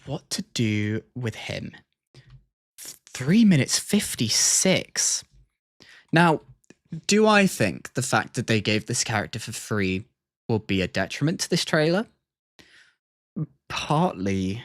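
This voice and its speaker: A man doing casual livestream commentary, reacting and ranking in a halting, think-aloud way. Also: mid-range voice, very animated, a bit bright, dry recording, studio quality. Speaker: streamer reacting to and ranking game trailers. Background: music.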